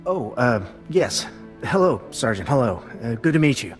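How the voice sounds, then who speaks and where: A man playing an awkward military explosives expert in a video game, with a nervous, halting, rambling delivery. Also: mid-range voice, sing-song, faint music behind, close to an everyday voice. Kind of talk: video game cutscene acting.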